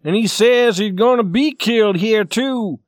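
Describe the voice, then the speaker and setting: A man's voice: high-pitched, animated, a little slow, no echo, voice pushed up. A male narrator acts out a blunt young storybook lad with a short temper. His raised voice sounds exasperated and challenging, recorded dry and close. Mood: irritated. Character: blunt, irritable young son of a hotel owner.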